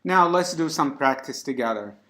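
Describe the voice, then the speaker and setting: A man teaching a class, giving deliberate, encouraging instructions to students in an indoor room. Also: a little high, expressive, bright, forceful tone. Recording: echoey room.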